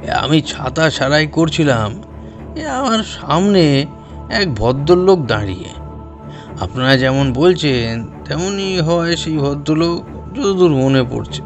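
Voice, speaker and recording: Male actor as meek street tradesman, slow halting delivery, deferential, nervous, audio drama, music underneath. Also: on the high side, expressive. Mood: earnest, recalling. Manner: hesitant, deferential, halting with drawn-out pauses. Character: humble, nervous street umbrella repairman recounting what he saw. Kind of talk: audio drama character acting. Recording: music behind the voice.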